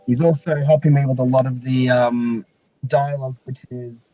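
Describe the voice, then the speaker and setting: A young man hosting a casual live stream chat in a halting, hesitant way. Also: mid-range voice, steady tone, dull audio. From Australia. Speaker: live stream host and fan-dub project leader.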